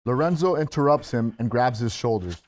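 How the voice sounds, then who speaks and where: Male narrator, mid-pitched, reading scene action for a script table read. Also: expressive, brisk. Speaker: stage-direction narrator.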